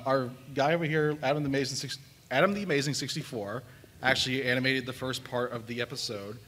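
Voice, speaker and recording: A man's voice: mid-range voice, bright, forceful tone. Man talking in an echoing hall. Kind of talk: casual panel talk. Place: large convention hall. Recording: echoing hall, faint room noise.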